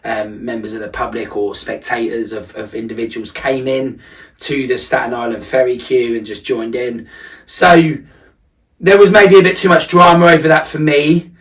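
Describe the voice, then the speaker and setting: A man's voice: mid-range voice, flat delivery, moderate pace, far from the mic. A man giving a casual vlog recap as a running coach, talking in a reflective, emphatic and conversational way.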